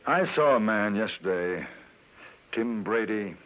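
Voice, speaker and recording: An actor playing a polite English newspaperman in a 1950s radio western, speaking and narrating in a measured, understated British accent. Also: lowish voice, expressive, a bit bright. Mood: polite, reserved. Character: courteous, observant English newspaperman travelling the frontier. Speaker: narrator and lead. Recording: muffled radio recording with music.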